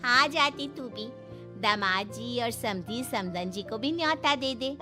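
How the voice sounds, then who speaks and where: A woman plays a soft-hearted middle-aged mother in a moral story. She speaks gently and affectionately to her family, with music playing underneath. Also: on the high side, lively intonation, takes it slowly. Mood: fond.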